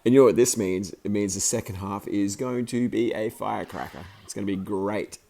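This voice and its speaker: A man with a very deep voice, still croaky from the flu, talks casually over his own hockey video. He rambles and restarts his sentences as he goes, and there is a faint hiss behind him. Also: a little fast, warm tone. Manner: rambling, chatty, many fillers and restarts. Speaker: amateur hockey commentator and video maker.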